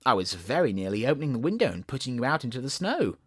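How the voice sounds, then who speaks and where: A man's voice: medium pitch, sing-song, fast talker, a bit bright, husky. A man voicing a playful young storybook girl, chattering and mock-scolding her kitten with affection. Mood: mock cross.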